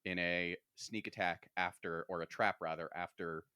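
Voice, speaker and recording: Male podcast co-host, slightly low voice, opinionated explainer, casual delivery, very dry recording. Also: fairly even intonation, moderate pace, bright, forceful tone, smooth, dry recording.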